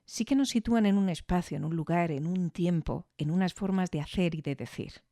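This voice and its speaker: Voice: medium pitch, brisk, warm tone, no room sound, clean studio sound. A podcast host giving thoughtful, explanatory commentary on an audio drama. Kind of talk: reflective commentary.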